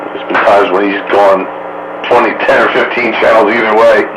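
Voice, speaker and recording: A man's voice: lowish voice, fairly even intonation, normal speed. A man chatting casually with other operators over a two-way radio. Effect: two-way radio transmission. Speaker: radio operator in a group chat. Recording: very loud radio noise.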